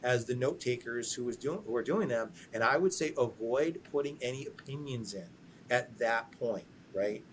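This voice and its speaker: A man with a mid-pitched voice gives conversational advice as an interview guest. He is emphatic, often doubles back on his phrases and slips into quoted examples. There is clear background noise with a low rumble. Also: moderate pace, poor audio. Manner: emphatic, repeats himself, poses sample questions and answers in quotes. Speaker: employment lawyer, podcast guest.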